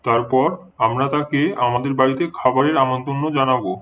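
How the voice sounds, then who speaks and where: A man voices a serious, earnest father in a moral cartoon. His mid-pitched delivery is measured and deliberate, and the recording sounds a touch muffled. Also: steady tone, fairly bright tone, close to an everyday voice. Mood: resolute. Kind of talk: dramatised moral story dialogue.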